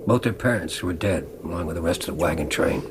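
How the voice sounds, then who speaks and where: An actor playing a gravely ill, defiant older man telling his life story in a grave, deliberate, dramatic way for radio drama. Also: deep voice, lively intonation, very noisy. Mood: reflective.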